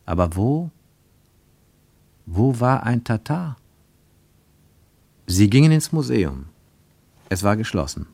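A man reading a literary story as a scripted radio narration, with expressive, dramatic phrasing. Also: lowish voice, takes it slowly, creaky, pauses often, no echo.

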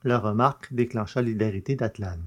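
A man's voice: fairly low pitch, a little fast, a little mellow. A man reading scripted literary narration for a French science fiction audio drama.

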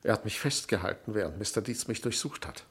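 An actor playing a deferential household servant in a German radio play, formal and cautious, with a gravelly husky voice. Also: male voice, on the low side, animated, fast talker. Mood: cautious reporting.

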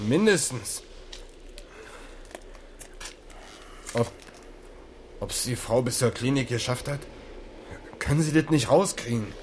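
An actor playing a shaken middle-aged long-haul trucker in a radio crime drama, speaking colloquial with a tinge, agitated and exclamatory. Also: male voice, mid-range voice, expressive, normal speed, darkish tone, lightly acted. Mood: subdued, worried. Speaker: truck driver. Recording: noisy 1980s radio play recording.

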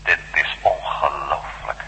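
A low-voiced, determined adventurer. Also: male voice, slow pace, vocal fry, sharp tone, in a large hall, heavily acted, low-quality recording. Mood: tense, awed. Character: determined male former astronaut turned reporter, dry and steady. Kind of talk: radio drama acting. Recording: faintly hissy old radio drama recording.

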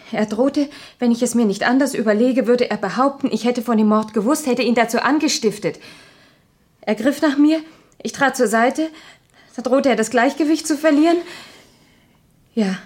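A woman's voice: a little high, natural voice. An actress playing an anguished, guilt-ridden young woman in a 1970s radio crime drama, with a grave, dramatic delivery.

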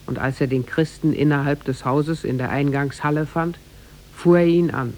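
A man narrating a scripted tale for 1950s German radio in a measured, formal storytelling manner, with a gravelly, husky voice. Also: on the high side, fairly even intonation, darkish tone.

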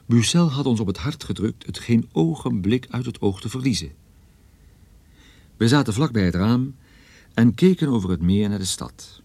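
A man's voice: lowish voice, mellow, dry recording. An actor playing a wry private detective who narrates his own story in a radio drama, dry and ironic. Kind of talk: first-person radio drama narration, noir.

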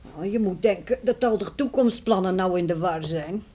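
A woman's voice: lowish voice, dark tone, close to an everyday voice. An actress in a radio play playing a caring middle-aged farmer's wife and mother, with a soothing, practical manner. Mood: understanding. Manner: soothing, homely, measured. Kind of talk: Dutch radio play acting. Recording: noisy old radio play recording.

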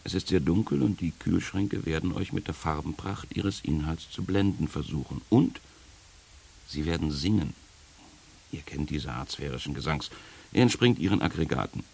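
An actor playing a sardonic guide of the dead in a radio play, speaking in a wry, gravelly, unhurried and instructing way. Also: male voice, very deep voice, darkish tone, exaggerated voice. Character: sardonic male guide leading the dead through trials. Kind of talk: 1980s German radio play acting. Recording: hissy early-1980s radio recording.

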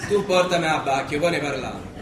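A man's voice: fairly high pitch, fairly quick, close to an everyday voice. A man playing a cocky, defiant, hungry young prisoner with a Roman accent, mocking and brusque in a theatrical comic radio play. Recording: echoey stage, music behind.

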